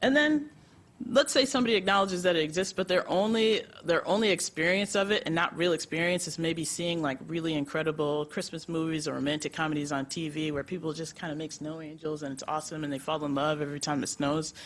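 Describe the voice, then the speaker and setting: A woman from the United States, a nonprofit executive director, speaking on a conference panel in an animated, chatty way with lots of laughs and fillers. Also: sits low, fast.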